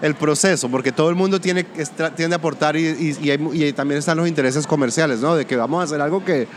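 A man from Colombia with a Colombian-Venezuelan border accent, a music producer talking through his work in a relaxed interview, pausing and restarting his sentences. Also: a little high, slight background noise. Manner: thoughtful, restarts often. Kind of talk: casual interview conversation.

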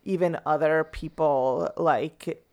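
A woman's voice: deep voice, fairly even intonation, slow pace, mellow. A woman chatting casually as a podcast guest, talking loosely with many filler words. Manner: loose and chatty, heavy use of "like". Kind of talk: casual conversation.

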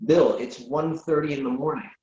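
A man's voice: a little high, moderate pace, warm tone, natural voice. A man reading the part of a reasonable, exasperated friend in a late-night comic dialogue, hesitant and stammering in disbelief. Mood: incredulous. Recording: roomy, dull lo-fi sound.

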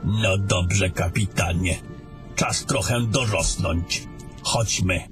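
A man's voice: sits low, takes it slowly, over music. A man playing a scornful, domineering battle mage in a fantasy audio drama, with a commanding and coarse way of talking.

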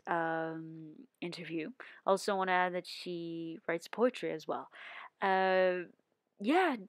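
A woman's voice: fairly low pitch, no room sound, clean studio sound. A woman host introducing her interview video, hesitant with many fillers.

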